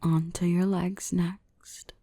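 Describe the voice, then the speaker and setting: A woman playing a gentle, protective dark elf mistress in a soothing, reassuring ASMR roleplay, often whispering. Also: on the low side, level tone, takes it slowly, dry recording, clean studio sound. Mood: soothing, careful.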